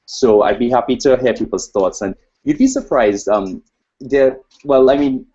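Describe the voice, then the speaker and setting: A man's voice: mid-range voice, moderate pace, dark tone, husky. A man from Trinidad with a Trinidadian accent, giving an informal conference talk as a researcher in a digressive, self-deprecating way. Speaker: academic researcher presenting at a conference. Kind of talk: informal conference presentation with slides. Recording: dull, lo-fi webcast audio.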